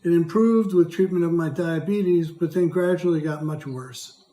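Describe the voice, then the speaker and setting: An older man with a hoarse, dry voice, reading prepared testimony as a patient in a measured, careful way. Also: a little high, mellow, reverberant. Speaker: patient speaker. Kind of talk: prepared testimony read aloud.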